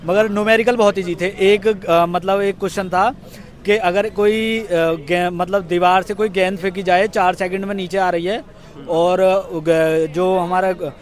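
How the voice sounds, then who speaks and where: A man's voice: high voice, little pitch movement, a little slow. A young man answering casually as an exam candidate, hesitant and searching for words. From Bulandshahr, Uttar Pradesh, India. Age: young adult. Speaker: exam candidate being interviewed.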